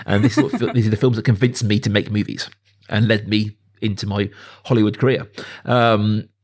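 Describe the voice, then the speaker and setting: A man's voice: on the low side, little pitch movement, brisk, husky. A man, talking casually and with rambling enthusiasm as a film podcast host. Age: 30s.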